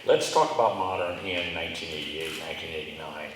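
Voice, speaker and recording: Low-voiced male interviewer, distant and echoey. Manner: halting questions with fillers. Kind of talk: live onstage interview before an audience. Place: large room with an audience. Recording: echoey hall from far off, clear noise.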